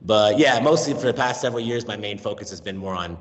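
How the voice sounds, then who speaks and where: American man, thirties, interview guest, low voice, long reverb, animated storyteller. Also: quick pace. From United States. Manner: animated, acts out voices and accents in his stories. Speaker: guest, language teacher. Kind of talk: casual interview talk. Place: remote call from Mexico.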